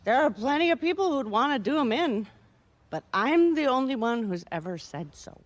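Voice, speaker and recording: Tipsy, flippant, high-voiced wife. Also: female voice, very animated, moderate pace. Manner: breezy, rambling, blasé about murder. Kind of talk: radio mystery acting.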